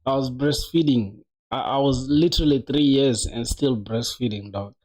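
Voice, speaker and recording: Mellow, casual young man on a coding stream. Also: medium pitch, moderate pace, low-quality recording. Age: 20s. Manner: slangy, addresses viewers as bro and dog. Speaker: coding livestreamer. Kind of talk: casual live-stream chat while coding.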